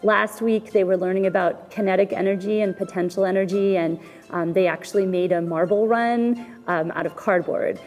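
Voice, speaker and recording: Woman, parent, mellow voice, chatty, reverberant room. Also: mid-range voice, fairly even intonation, normal speed, faint music behind. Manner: chatty, with fillers. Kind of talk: casual interview.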